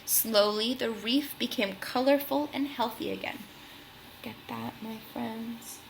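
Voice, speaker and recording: A woman's voice: fairly high pitch, fairly even intonation, measured pace, creaky, noisy background. A woman reading a storybook aloud expressively for young children, engaging the listeners as a host. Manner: gasps and questions addressed to young listeners.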